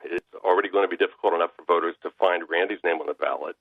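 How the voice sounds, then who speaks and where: An American man giving political commentary as a radio guest over the telephone, halting and often restarting his sentences. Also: low-pitched, fairly even intonation, vocal fry, a little hiss or hum. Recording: narrow tinny phone line.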